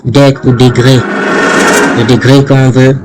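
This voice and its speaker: A man giving an unscripted step-by-step software tutorial, halting and often repeating his words. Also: medium pitch, level tone. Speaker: programming tutorial presenter. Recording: loud music behind the voice. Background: music.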